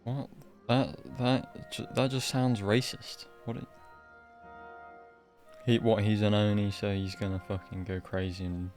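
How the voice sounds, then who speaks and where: A man streams a game with casual, off-the-cuff commentary. His voice is fairly low, and his manner is blunt and sarcastic. Soft music sits behind him. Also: little pitch movement, unhurried. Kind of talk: casual live reaction commentary.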